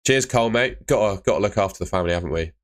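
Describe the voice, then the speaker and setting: A young man chatting live with viewers on a stream, laid-back and chatty, his voice fading and hoarse. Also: on the low side, expressive, rapid-fire. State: losing his voice.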